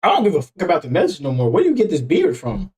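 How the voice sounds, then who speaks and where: A man's voice: high-pitched, wildly swooping pitch, very fast, darkish tone. A man in his twenties chatting casually on stream, joking around in a slangy way and laughing often. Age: 20s. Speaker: streamer reacting to videos.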